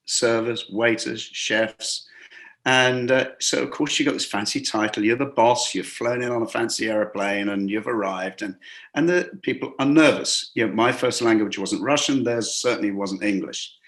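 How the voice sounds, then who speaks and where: A man's voice: medium pitch, a bit bright. Man telling work stories with restarts. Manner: rambling, many restarts, acts out quoted dialogue. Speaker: guest, hospitality business coach. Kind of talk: conversational interview storytelling. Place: lives and works in a Gulf city.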